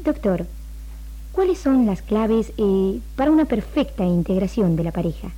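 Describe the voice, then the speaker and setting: An actress with an Argentine accent playing a polite, formal television interviewer in a 1960s radio drama. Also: female voice, mid-range voice, expressive, normal speed, dark tone, natural voice. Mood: polite, presentational. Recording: dull old radio recording, faint music.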